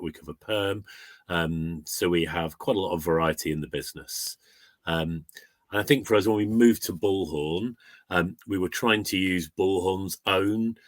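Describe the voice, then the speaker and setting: A man's voice: deep voice, no room sound. A man speaking conversationally as a business guest, giving an informal testimonial. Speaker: recruitment agency client guest.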